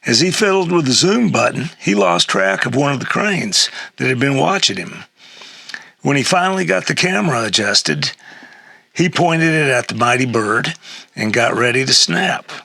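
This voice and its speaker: An older man reading a humorous memoir essay aloud in a halting way, with a gravelly, husky voice. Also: medium pitch, sing-song, pushed and bright, dry recording. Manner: halting read-aloud with stumbles and chuckles. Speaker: reader of an essay excerpt.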